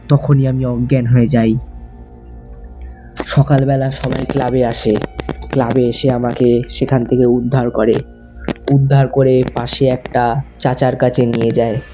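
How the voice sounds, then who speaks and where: A man narrating a ghost story slowly and with suspense, in a dark, mellow voice. Faint music plays under him on a muffled, lo-fi recording. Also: mid-range voice, little pitch movement. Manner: slow, suspenseful telling. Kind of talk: first-person horror story narration.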